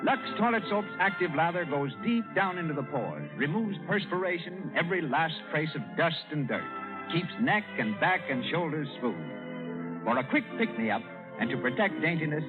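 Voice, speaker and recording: Male 1930s radio announcer, very lively intonation, declamatory, thin vintage broadcast sound. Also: a little high, normal speed, phone-quality sound, music in the background. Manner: formal, declamatory. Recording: band-limited old 1930s radio recording.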